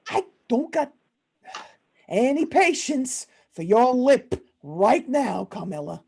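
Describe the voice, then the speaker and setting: Woman playing harried mob boss dad, slow and swooping. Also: on the high side, sing-song, vocal fry, mellow, slightly rough, full character voice. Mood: irritated. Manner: exasperated, anxious, slangy wiseguy talk. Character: harried, short-fused middle-aged male mob boss and family father. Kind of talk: amateur comic radio play.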